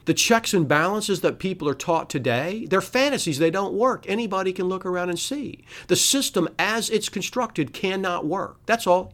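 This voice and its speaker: Man, middle-aged, podcast host, solo monologue, emphatic, sarcastic, rather high voice, very lively pitch. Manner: emphatic, sarcastic rhetorical questions with scoffing laughs. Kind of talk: solo political commentary monologue.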